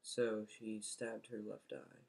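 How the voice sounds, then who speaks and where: A woman's voice: very deep voice, little pitch movement, slow pace, gravelly, darkish tone, no echo. A woman bantering casually off the cuff, with a dryly teasing, questioning manner.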